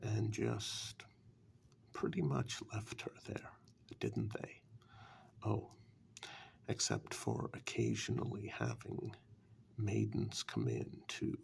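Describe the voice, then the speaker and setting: Guy doing a creepy warlock asmr, super slow n croaky, soft, taunting, dark fairytale vibe. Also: fairly low pitch, exaggerated voice. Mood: sly, probing. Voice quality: breathy half-whisper with gravelly creak. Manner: slow, deliberate, taunting rhetorical questions. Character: menacing, mocking dark-fairytale warlock, slyly reassuring. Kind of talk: soft-spoken ASMR fantasy role-play.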